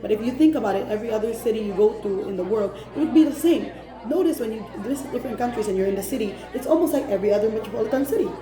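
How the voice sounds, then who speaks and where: A woman's voice: fairly high pitch, animated, rapid-fire, reverberant, crowd noise. Exasperated woman. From Philippines, Cebu City. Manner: emphatic and exasperated, repeating points for stress. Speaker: vlogger. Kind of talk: direct-to-camera opinion rant.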